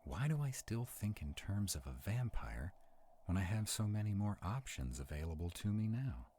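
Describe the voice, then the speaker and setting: A man playing a sarcastic, protective vampire in a roleplay audio drama, wary and quick-witted, talking to unseen companions. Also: fairly low pitch, sing-song, warm tone, a little hiss or hum. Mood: urgent, protective.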